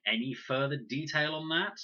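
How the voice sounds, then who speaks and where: A man voicing a sharp, distrustful young girl in an adventure storybook, challenging and argumentative. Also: a little high, normal speed, dull audio, voice pushed up. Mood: sarcastic.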